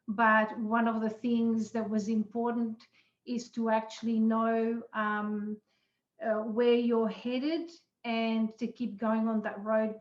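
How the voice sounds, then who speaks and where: A middle-aged woman from Portugal, a consultant speaking in a measured, formal way in a panel chat. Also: on the high side, darkish tone. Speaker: consultant guest. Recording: echoey, muffled room sound.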